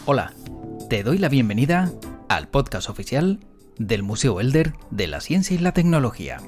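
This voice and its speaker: A man's voice: medium pitch, very animated, normal speed, over music. A man presenting and interviewing as a podcast host. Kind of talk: scripted intro narration and interviewing.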